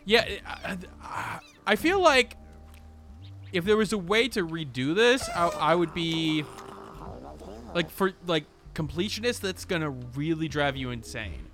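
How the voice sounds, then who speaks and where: A man's voice: a little high, lively intonation, unhurried, a bit bright, soft background music. A man giving casual, off-the-cuff gameplay commentary, rambling and interrupting himself as he thinks aloud. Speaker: gaming video host. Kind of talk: casual off-the-cuff let's play commentary, reading game text aloud.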